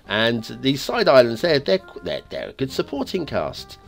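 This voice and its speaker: A man with a wheezy, asthmatic breath, rambling through casual commentary on a game map. His voice rises and falls with a lively, animated pitch, and he keeps correcting himself mid-thought. Faint music plays softly behind him. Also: moderate pace, gravelly. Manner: rambling, chatty, self-correcting, joking asides. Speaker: host of a game map review show.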